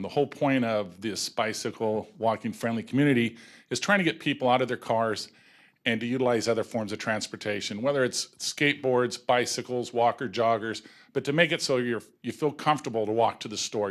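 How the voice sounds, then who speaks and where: Earnest man addressing a city council. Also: medium pitch. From local resident of the city. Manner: earnest, proud, loosely structured. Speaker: bicycle advocacy group representative. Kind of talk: public comment at a city council meeting.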